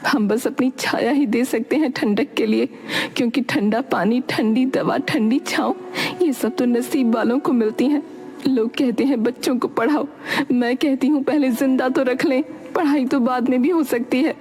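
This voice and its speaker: A woman's voice: high-pitched, moderate pace, a little mellow, slightly rough, long reverb tail, pitched above the performer's own voice. A woman voicing a poor village mother in a cartoon story, bitter, sorrowful and resigned. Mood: bitter despair. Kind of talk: Hindi cartoon story acting.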